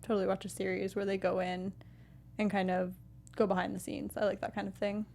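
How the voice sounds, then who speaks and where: A woman's voice: medium pitch, level tone, a little fast, a little mellow. A woman chatting casually as co-host of a film discussion show. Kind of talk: casual chat.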